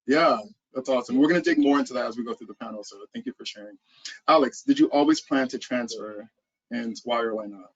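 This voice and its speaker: A man's voice: medium pitch, fast, husky, muffled recording. Upbeat male panel moderator. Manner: upbeat, affirming.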